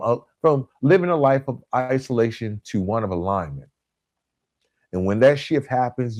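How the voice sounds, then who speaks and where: A man delivers a motivational podcast monologue at a medium pitch. He is earnest and emphatic, breaking his points into short, deliberate phrases and repeating key words to drive them home. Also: a little mellow. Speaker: podcast host.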